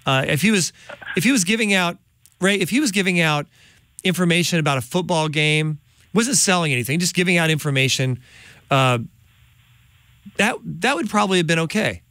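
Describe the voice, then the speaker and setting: A man's voice: on the high side, expressive, a little fast, long pauses, bright, forceful tone, no echo. A middle-aged man hosting a studio interview, asking emphatic, indignant questions. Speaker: host. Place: studio with large window. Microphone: studio microphone on boom.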